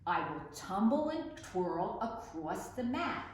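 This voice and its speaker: Casting: female storytime reader, unhurried and highly expressive, recorded in a very echoey room with slight noise. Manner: animated, dramatic, measured. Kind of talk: expressive children's picture-book read-aloud.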